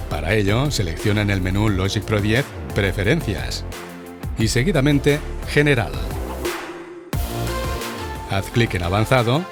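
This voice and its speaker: Low-voiced man narrating over prominent music. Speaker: tutorial narrator. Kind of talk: scripted software tutorial narration.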